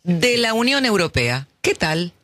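A woman's voice: fairly low pitch, big pitch swings, a little slow, a bit bright, no room sound. A woman hosting a live radio interview, introducing and questioning a guest. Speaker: radio host.

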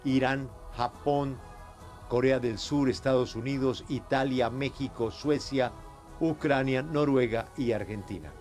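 A man hosting a morning talk show, bantering quickly and teasing his co-hosts. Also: medium pitch, fairly even intonation, darkish tone, faint music behind. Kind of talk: brisk, joking studio banter.

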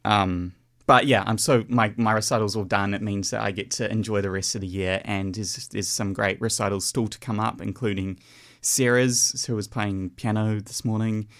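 A young man with a New Zealand accent, a percussionist chatting casually in a radio interview, relaxed and full of ums. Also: fairly low pitch, moderate pace. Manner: relaxed, hesitant with many ums. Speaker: percussionist guest.

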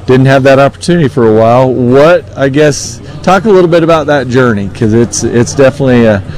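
Folksy male host, halting delivery. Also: medium pitch, animated, a little mellow, low-quality recording. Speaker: radio show host and interviewer. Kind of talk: casual live broadcast interview.

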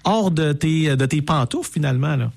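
A man's voice: a little high, expressive, normal speed, vocal fry. A male radio host with a Québécois French accent, asking questions in a casual, chatty way. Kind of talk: radio interview.

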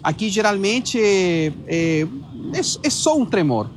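A man's voice: sits high, expressive, slow pace, a little hiss or hum. A tour guide speaking, a man who halts and repeats words as he searches for them in a recorded interview clip. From Chile.

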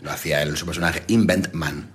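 Husky, low-voiced sarcastic male co-host. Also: a little fast. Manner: teasing, sarcastic, theatrical. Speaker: comic co-host. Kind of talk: joking radio banter.

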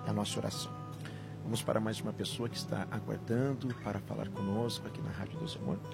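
Gravelly-voiced pastor hosting night radio. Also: male voice, on the low side, fairly even intonation. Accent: southern Brazilian. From Paraná, Brazil. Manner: gentle, prayerful, unhurried. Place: radio studio with music playing. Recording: music bed under the voice.

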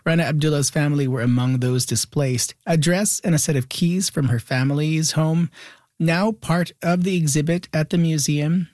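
A man reading a scripted news introduction as anchor, with a steady, measured delivery. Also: on the high side, dry recording, clean studio sound.

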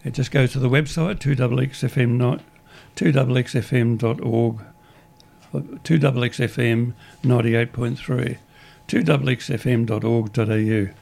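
An Australian man with a gravelly, croaky voice runs a community radio show. He rambles through announcements, often restarting his sentences, and sounds upbeat about good news. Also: medium pitch, fairly even intonation, moderate pace, a little mellow.

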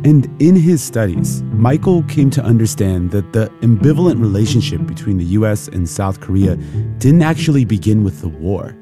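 A man telling a scripted documentary story as narrator, in a measured way. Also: lowish voice, very animated, music in the background.

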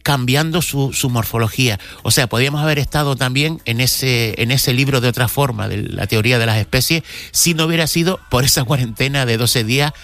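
A man's voice: mid-range voice, brisk, fairly bright tone. A man, a cultural commentator telling a local history story on a radio segment, with frequent restarts.

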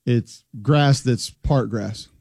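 A man's voice: medium pitch, slow pace, gravelly, mellow, no room sound, studio quality. A man co-hosting a casual sports talk show, joking wryly and reading facts aloud. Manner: wry, joking, reads stats aloud.

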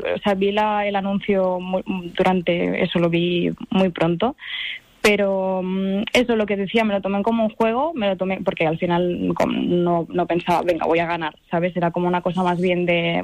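A woman's voice: mid-range voice, steady tone, moderate pace, a little mellow. Hesitant young woman on a muffled radio line. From Spain. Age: 20s. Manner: chatty, hesitant, many fillers and restarts. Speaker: guest artist. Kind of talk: radio interview answers.

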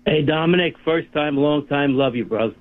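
A man's voice: a little high, moderate pace. Eighty-one-year-old man on a phone line. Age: 80s. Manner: chatty storyteller, laughs at his own tales. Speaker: caller.

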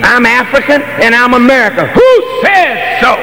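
A man preaching a fiery sermon, scolding his listeners and rising into shouts, with call and response. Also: very high voice, normal speed. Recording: long hall reverb, steady hum.

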